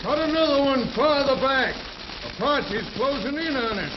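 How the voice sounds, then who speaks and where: A man's voice: very high voice, expressive, normal speed. An actor playing a cowboy posse man calling out orders in a 1930s radio Western. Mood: tense. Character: brisk hollering cowboy posse man directing others. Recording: dull, noisy 1930s radio recording.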